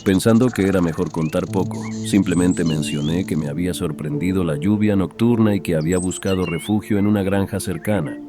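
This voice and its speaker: A man's voice: low-pitched, a little fast, hardly any pauses. A man reading a horror tale as a dramatic audiobook narrator. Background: background music.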